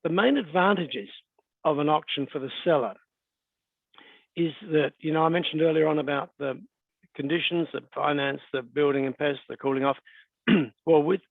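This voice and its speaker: Australian man, middle-aged, croaky from a cold, higher-pitched voice, clears throat, thin phone-like video call, dry sound. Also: normal speed, no room sound. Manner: relaxed, conversational, frequent fillers and throat clearing. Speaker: real estate agent and auctioneer. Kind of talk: webinar presentation.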